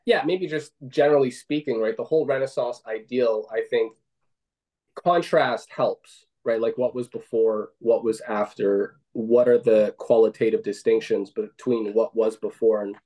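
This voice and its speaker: A man's voice: mid-range voice, moderate pace, mellow. A man hosting a casual discussion, conversational, often tagging "right?" and "I mean".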